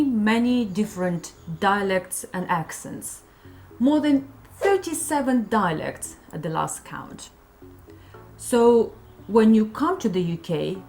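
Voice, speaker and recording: A woman with a Russian accent, narrating a scripted explainer about English customs in a friendly, explanatory way. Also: a little high. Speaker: co-host teaching about English culture.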